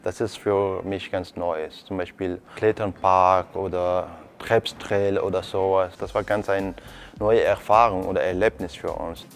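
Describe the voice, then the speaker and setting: A man's voice: low-pitched, steady tone, measured pace, vocal fry, soft background music. A young man from Afghanistan, a nursing trainee speaking earnest, simple into a lapel mic. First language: not German. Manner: earnest, simple non-native phrasing.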